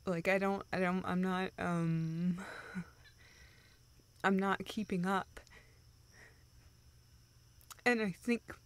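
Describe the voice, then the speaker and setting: A woman playing a dejected, self-doubting young woman who confides haltingly in a friend, in an intimate, naturalistic audio roleplay. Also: lowish voice, fairly even intonation. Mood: discouraged. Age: young adult. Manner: halting, hesitant, self-deprecating.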